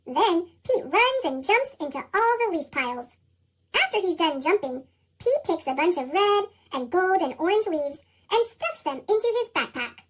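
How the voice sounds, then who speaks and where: Woman, American accent, chipmunk effect, extremely high pitch, very animated storybook narration. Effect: sped-up chipmunk voice, pitched very high. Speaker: children's storybook reader. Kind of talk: expressive scripted read-aloud narration for young children.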